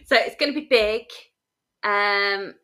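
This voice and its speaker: A woman co-hosting a casual crafting podcast, chatty and excitable, often laughing. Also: medium pitch, slow pace. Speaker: podcast co-host. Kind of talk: casual chat.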